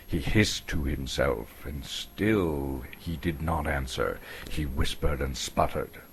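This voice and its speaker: A man from Canada narrating a story as a theatrical, expressive dramatic reading. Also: extremely low pitch, slow pace, a little hiss or hum.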